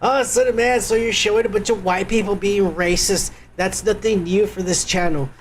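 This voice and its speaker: A man's voice: high-pitched, steady tone, normal speed, slight background noise. A man hosting a live stream, ranting crudely and angrily in profane political commentary.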